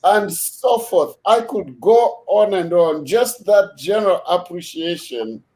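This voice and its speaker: A man hosting a video call discussion, measured and unhurried, with many fillers as he thanks his guests. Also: sits high, expressive, a little mellow. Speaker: host.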